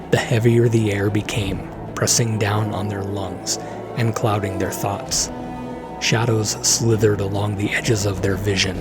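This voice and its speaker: A man giving solemn, dramatic scripted narration of a fantasy story. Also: fairly low pitch. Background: loud music.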